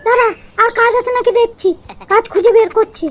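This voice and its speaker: A woman playing a bossy, scolding village wife in an amateur comedy cartoon, nagging and commanding through a squeaky pitched-up effect. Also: fairly even intonation, fairly quick, slight background noise. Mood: irritated.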